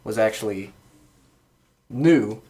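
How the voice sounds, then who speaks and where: A man's voice: fairly low pitch, takes it slowly, dark tone. A man co-hosting a podcast, talking in a casual, conversational way. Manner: informal, conversational. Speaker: podcast co-host. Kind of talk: casual chat.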